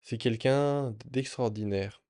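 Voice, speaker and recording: A man sharing a personal story in a reflective, earnest way, often pausing and restarting his sentences. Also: medium pitch, dark tone, dry recording, studio quality. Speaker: vlogger.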